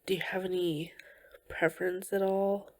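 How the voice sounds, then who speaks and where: A woman playing a tender, caring girlfriend in an ASMR comfort roleplay, speaking gently and reassuringly in a breathy voice that often slips toward a whisper. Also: fairly low pitch, level tone, close to an everyday voice. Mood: soft, affectionate. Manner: gentle, slow, reassuring, with soft pauses.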